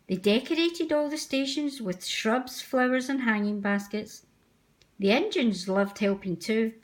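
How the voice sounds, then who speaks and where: Woman reading a kids picture book out loud, voice a bit on the high side. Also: unhurried. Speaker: storybook reader. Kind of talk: children's read-aloud narration.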